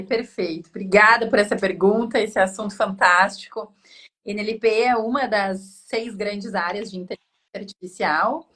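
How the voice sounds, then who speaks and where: A woman, a professor and guest expert explaining a technical topic in a clear, teacherly way. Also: mid-range voice, fairly bright tone. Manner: clear, explanatory, frequent "né?" tags. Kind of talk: didactic panel explanation.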